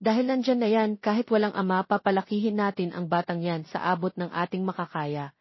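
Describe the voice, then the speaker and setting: A woman's voice: medium pitch, steady tone, brisk, dry recording, muffled recording, natural voice. A woman voicing a doting, supportive middle-aged father, tender and reassuring, in an audio love story.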